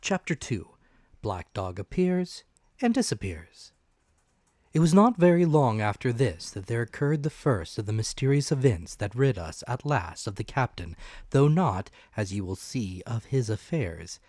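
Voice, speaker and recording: Expressive male adventure story narrator. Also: mid-range voice, a little slow, no echo, studio quality. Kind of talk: dramatic audiobook narration of classic adventure.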